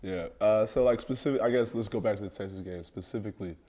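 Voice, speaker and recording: A man's voice: on the low side, fast, warm tone. A young man, a college football player, talking in casual chat, hesitant and often repeating and restarting his phrases. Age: young adult.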